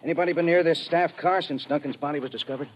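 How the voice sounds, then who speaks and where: A man's voice: fairly high pitch, quick pace, lightly acted. An American man acting as a businesslike, assured army lieutenant in a 1950s radio detective drama. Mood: businesslike, pondering. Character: matter-of-fact male American army police lieutenant. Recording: muffled, noisy old radio recording.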